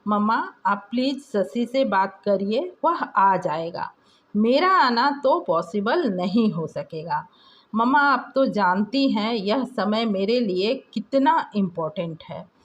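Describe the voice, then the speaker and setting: A woman voicing an ambitious, self-centred young son in an audio story, smoothly reassuring and making excuses. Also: medium pitch, expressive, takes it slowly, lo-fi sound. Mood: evasive, assured.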